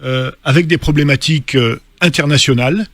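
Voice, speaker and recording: A man speaking as a studio guest on a radio debate, measured and full of hesitations. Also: on the high side, fairly bright tone, no room sound.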